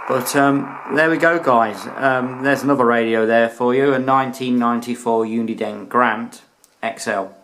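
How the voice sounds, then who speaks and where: British guy doing a casual hobby review, average pitch, chatty and slangy. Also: steady tone, moderate pace, fairly bright tone, poor audio. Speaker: radio hobbyist reviewing his collection. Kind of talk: casual video product review.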